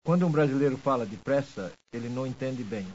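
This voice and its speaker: A man with a mid-pitched voice reads scripted language-lesson material. His delivery is deliberate and carefully enunciated. The recording is dull and lo-fi. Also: lively intonation, a little mellow. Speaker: language drill reader and dialogue speaker. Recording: muffled lo-fi language tape.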